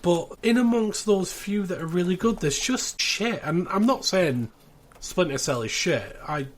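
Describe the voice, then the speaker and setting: A man's voice: high-pitched, animated, a little slow. A man hosting a casual panel chat about a show. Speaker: podcast host. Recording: clear background noise.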